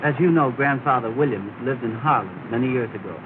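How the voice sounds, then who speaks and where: An actor playing an earnest, polite young gentleman, respectful and sincere, in 1930s film style. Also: mid-range voice, fairly quick, close to an everyday voice. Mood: earnest, hopeful. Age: young adult. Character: earnest, polite, affable young gentleman newly arrived north. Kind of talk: early 1930s film acting. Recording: noisy, tinny 1930 film soundtrack.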